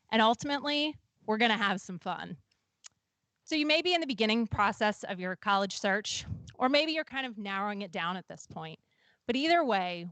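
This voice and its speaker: A woman's voice: mid-range voice, fairly bright tone, no room sound. Upbeat woman admissions director. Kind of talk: welcoming presentation.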